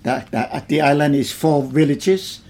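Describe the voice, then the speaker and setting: An older man from Tonga, recalling his childhood in a slow, plain way during an oral history interview. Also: fairly high pitch, a little mellow. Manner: slow, plain reminiscing with simple phrasing.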